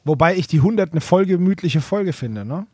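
A man with a somewhat high voice chats as a podcast co-host. The talk is informal and conversational. The sound is very dry, with no room echo. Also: expressive, a little fast, mellow, slightly rough. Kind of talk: casual podcast chat.

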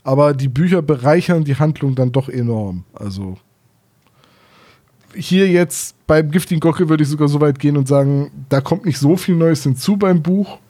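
A man co-hosts a casual podcast. His voice sits a little high for a man, with a very mellow, rounded tone. He talks conversationally, as in a relaxed retelling. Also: moderate pace. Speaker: podcast co-host.

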